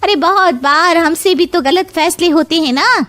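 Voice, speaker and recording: A woman playing a sensible, open-minded middle-aged friend, reasoning persuasively in an animated story. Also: very high-pitched, normal speed.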